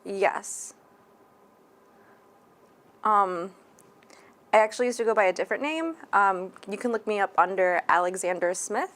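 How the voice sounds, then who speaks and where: A woman's voice: mid-range voice, measured pace, long pauses, a bit bright, close to an everyday voice. A woman playing a polite, somewhat hesitant nonbinary patient in a scripted training call. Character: polite nonbinary patient phoning to book an appointment. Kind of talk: scripted training role-play phone call.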